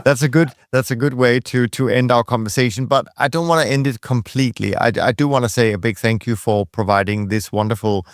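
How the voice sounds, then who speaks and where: A man's voice: mid-range voice, a little fast, few pauses, dry recording, clean studio sound. A man with a Danish accent hosting a podcast interview, chatting with rambling asides. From Denmark. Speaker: podcast host. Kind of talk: conversational interview.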